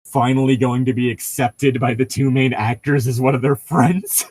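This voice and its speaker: A man's voice: mid-range voice, normal speed, breathy edge, dry recording. Rambling man in casual, sweary chat. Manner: rambling, profane, excitable storytelling with frequent laughter.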